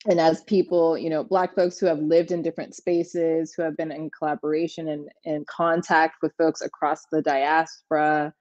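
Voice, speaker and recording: A woman moderating a talk, asking long, winding questions in a relaxed conversational way. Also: deep voice, normal speed, hardly any pauses, dry recording, dull audio. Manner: long rambling questions with light laughs.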